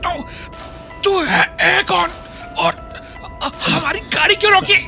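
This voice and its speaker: A man voicing a rough, confrontational street goon in a Hindi audio story. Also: very high-pitched, lively intonation, slow, pushed and bright, soft background music, pitched above the performer's own voice, full character voice. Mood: rattled. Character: aggressive street goon, blustering then cowed.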